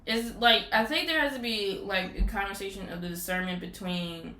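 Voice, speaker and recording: Chatty woman host, bright voice, lots of like fillers, echoey room w faint hiss. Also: mid-range voice, normal speed. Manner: rambling, many "like" fillers. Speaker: podcast host. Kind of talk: casual chat. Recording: roomy echo with faint background noise.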